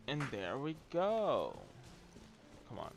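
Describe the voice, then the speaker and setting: A man's voice: medium pitch, huge pitch swings, takes it slowly, gravelly, faint music behind. A man casually commentating on his live game stream, slangy and muttering to himself. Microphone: streamer's home setup with headphones.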